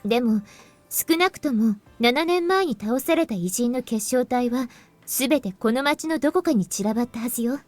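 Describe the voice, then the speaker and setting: Actress as young female organizer for visual novel: high voice, fast delivery, businesslike, music underneath. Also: lively intonation, no echo, close to an everyday voice. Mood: brisk, explaining. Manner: explanatory, matter-of-fact. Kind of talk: Japanese visual novel voice acting.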